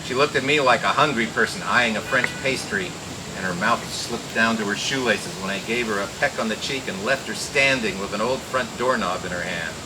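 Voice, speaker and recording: An actor playing a wisecracking, cool private detective who narrates in slangy old radio noir style. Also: male voice, fairly low pitch, fairly quick, natural voice. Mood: wry, cool. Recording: noisy old radio drama recording.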